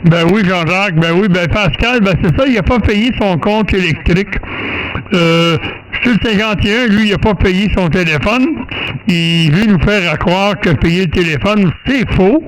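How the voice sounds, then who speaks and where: A man's voice: sits high, measured pace, a little hiss or hum, low-quality recording. A man with a Quebec French accent chatting casually as an amateur radio operator, colloquial and repetitive.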